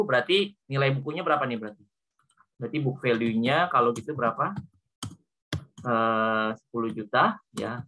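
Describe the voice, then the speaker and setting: A man's voice: mid-range voice, pushed and bright, a little hiss or hum. A man with an Indonesian accent lecturing informally as a teacher, explaining worked problems step by step and often repeating points with small confirming tags. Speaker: accounting lecturer.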